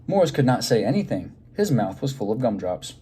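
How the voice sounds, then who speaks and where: A man reading a picture book aloud to children in an expressive way, pausing to prompt them with simple questions. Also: mid-range voice, breathy edge.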